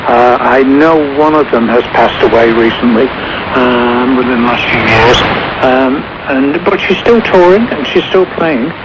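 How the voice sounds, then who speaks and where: A man giving casual music commentary as a radio guest, rambling and pausing mid-phrase. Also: mid-range voice. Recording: muffled shortwave radio with clear static. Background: shortwave static and noise.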